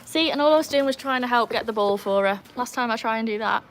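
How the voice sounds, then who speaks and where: A woman's voice: fairly high pitch, fast talker, slight background noise. A young woman with a mixed Welsh and English accent, hosting a casual livestream outdoors by a pool, chatting and reading comments aloud. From Wales. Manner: chatty, reads chat comments aloud, laughs easily. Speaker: livestream host.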